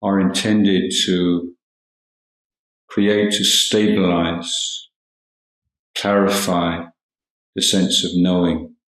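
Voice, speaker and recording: A man giving a guided meditation talk as a Buddhist teacher, calm and measured, with long pauses between short phrases. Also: low-pitched. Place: indoor room at a monastery. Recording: roomy, echoing hall sound.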